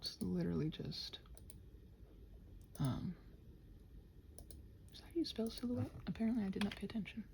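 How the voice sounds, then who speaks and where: Mellow, scattered woman muttering in lively swoops. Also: mid-range voice, normal speed, vocal fry, long pauses, slight background noise. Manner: scattered, muttering to herself, often drops into whispers; playfully talks to the computer and quotes imagined exchanges. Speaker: channel host rambling while working. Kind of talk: casual rambling body-doubling ASMR chat.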